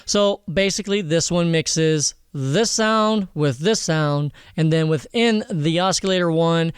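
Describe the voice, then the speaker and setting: A man hosting a casual software tutorial in an informal, slangy way, swearing now and then. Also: fairly high pitch, a little slow, clean tone, dry recording, clean studio sound, soft background music. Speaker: tutorial host.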